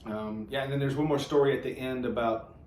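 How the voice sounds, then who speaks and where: A man's voice: mid-range voice, fairly even intonation, a little fast, darkish tone. A man hosting a casual church Bible discussion, informal and folksy, rephrasing as he goes. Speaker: host of a church read-along show. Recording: echoey room, distant mic, faint noise.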